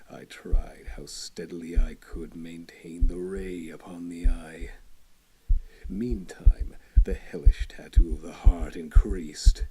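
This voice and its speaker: A man giving a dramatic reading of a horror story, moving between hushed whispers and loud intensity. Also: low-pitched, breathy edge, poor audio.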